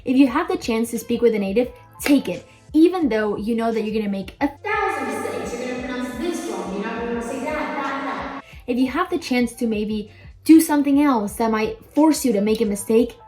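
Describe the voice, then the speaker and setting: A woman's voice: a little high, animated, brisk, darkish tone, faint music behind. A young woman giving casual advice to viewers as a language-learning video host, chatty, informal and emphatic. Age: young adult.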